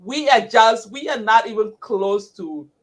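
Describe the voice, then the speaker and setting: A woman's voice: a little high, measured pace. A woman hosting a live online chat, chatty and enthusiastic, often calling people "girl". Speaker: livestream host. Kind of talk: casual live-stream chat.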